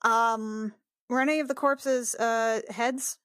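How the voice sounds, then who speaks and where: A woman's voice: fairly high pitch, fairly even intonation, takes it slowly, fairly bright tone, smooth, no room sound, studio quality. A woman playing a tabletop game, improvising casually in character with a blunt, informal manner.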